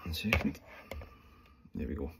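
A Scottish man in his forties explaining fly tying step by step at home, talking in a relaxed way with plenty of fillers as he works. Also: measured pace, noisy background. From Scotland. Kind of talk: casual talk-through tutorial. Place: small room at home, indoors. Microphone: phone camera close up.

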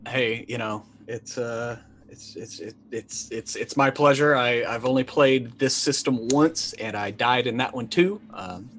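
A man's voice: medium pitch, measured pace, few pauses, a bit bright. A man in his forties chatting casually as a guest player on a video call, in hesitant, stop-start phrases. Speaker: tabletop game player, guest. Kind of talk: casual chat. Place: indoor room, on a video call. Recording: clear background room noise.

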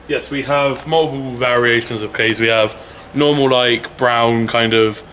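A man's voice: medium pitch, steady tone, a little slow, pushed and bright, slight background noise, rough recording. A young man working at a feed store, explaining stock in a plain, hesitant way full of fillers. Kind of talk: casual explaining. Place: large indoor warehouse with shelving. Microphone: camera mic held by another person.

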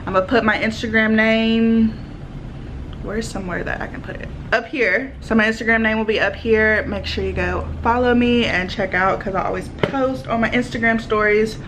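A woman's voice: on the high side, steady tone, normal speed, a bit bright, slight background noise. A young woman in her twenties chatting to her phone camera at home as a vlogger, full of fillers like "like" and "literally". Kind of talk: casual chat to camera. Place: small home, living room then kitchen, indoors. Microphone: phone camera at arm's length.

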